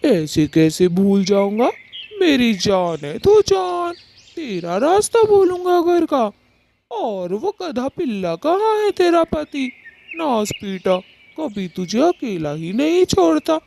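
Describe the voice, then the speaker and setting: A woman acts out a vain, lecherous male crow in a cartoon tale. The voice is mellow and gravelly, drawling slowly with animated swoops. He swaggers and taunts. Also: high-pitched, full character voice. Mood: leering, flirting. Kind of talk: Hindi cartoon moral story dialogue.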